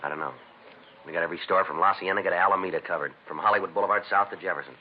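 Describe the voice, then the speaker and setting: Terse, dogged detective male actor, American, very low and brisk, clipped delivery, on a dull, noisy vintage radio recording. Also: lightly acted. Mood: weary, dogged. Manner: deadpan, clipped, matter-of-fact. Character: terse, dogged male police detective sergeant, dry and businesslike. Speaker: detective and narrator. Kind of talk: 1950s radio crime drama acting, clipped narration.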